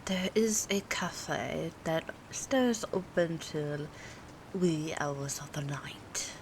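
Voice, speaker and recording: A woman acting an aloof, teasing old vampire in an ASMR roleplay, speaking softly and formally with hesitant pauses, often near a whisper. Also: sits low, heavily acted. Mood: calm, explaining. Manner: formal, hesitant, haughty phrasing with drawn-out pauses. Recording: clear background ambience noise. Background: rain, city ambience, soft music.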